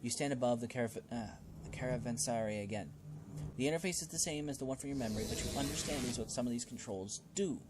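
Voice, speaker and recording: A man playing through a role-playing game, reading its text aloud and adding casual, expressive commentary of his own. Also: medium pitch, quick pace, noisy background. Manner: expressive, reacting out loud to the game. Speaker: gaming video host playing a role-playing game.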